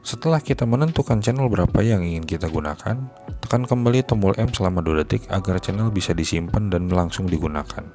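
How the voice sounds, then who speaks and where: Deep-voiced man narrating a gadget review. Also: animated, fast, mellow. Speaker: product reviewer. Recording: music behind the voice. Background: music.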